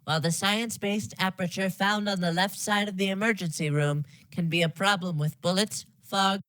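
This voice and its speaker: A woman voicing a polite computer test announcer in a game parody, with formal, deadpan scripted delivery. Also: mid-range voice, moderate pace, dry recording, lightly acted, low-quality recording.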